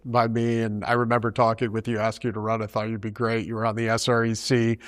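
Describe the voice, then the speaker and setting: A man's voice: on the low side, completely flat delivery, fast talker. A man, a former party chairman, interviewing his successor with friendly, plain questions. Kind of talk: conversational interview.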